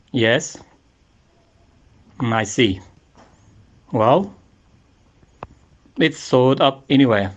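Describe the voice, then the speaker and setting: A man playing a calm, formal police inspector in an amateur radio murder mystery, speaking in a measured, deliberate way. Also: medium pitch. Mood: calmly certain. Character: calm, methodical male police inspector questioning murder suspects. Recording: noisy amateur voice-message recording with clicks.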